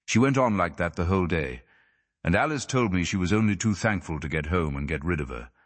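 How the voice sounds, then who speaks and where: A man's voice: low-pitched, animated, fairly quick, no room sound. A man reading classic fiction aloud as scripted audiobook narration. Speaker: audiobook reader.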